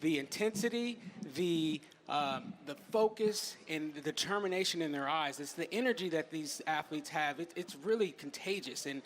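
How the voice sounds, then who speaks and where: A man's voice: on the high side, moderate pace, hardly any pauses. A man, a marketing executive, answering interview questions with enthusiastic, promotional energy.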